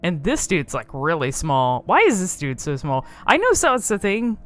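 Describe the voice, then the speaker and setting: A woman's voice: lowish voice, wildly swooping pitch, moderate pace, a bit bright, faint music behind. A woman giving casual, off-the-cuff commentary on a video game in a rambling, informal, amused manner.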